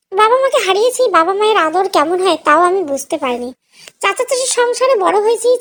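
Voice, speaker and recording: A woman with an extremely high voice narrates an emotional story in the first person. Her delivery is scripted, dramatic and sorrowful.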